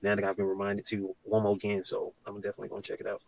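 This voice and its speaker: Rambling, fast-talking, gravelly man. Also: on the low side, monotone, slight background noise, low-quality recording. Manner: rambling, full of "you know"; laid-back slang. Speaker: guest on a live stream. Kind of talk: casual late-night chat.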